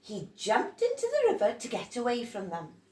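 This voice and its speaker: A woman reading a children's storybook aloud in a dramatic, animated way, stressing key words. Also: fairly high pitch, moderate pace. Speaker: storybook reader. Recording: roomy echo, distant from microphone.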